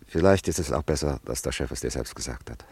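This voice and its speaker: An actor playing a loyal French friend who gently comforts a refugee couple in a radio drama. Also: male voice, rumbling low, lively intonation, fast talker, gravelly, slightly rough, dry recording, full character voice. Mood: consoling. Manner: gentle, consoling, hushed. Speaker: family friend. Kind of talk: radio play acting.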